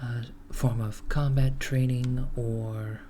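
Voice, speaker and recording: A man in an ASMR roleplay playing a mild-mannered corporate recruiter of henchmen, soft-spoken, hesitant and politely matter-of-fact. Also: mid-range voice, level tone, takes it slowly, slight background noise, natural voice. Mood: polite, businesslike. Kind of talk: ASMR roleplay, job interview.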